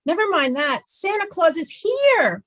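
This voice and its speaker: A woman's voice: very high-pitched, sing-song, unhurried, a bit bright, full character voice. A woman playing a polite, middle-aged suburban mother who deflects blame, in a comedy table read. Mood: excited.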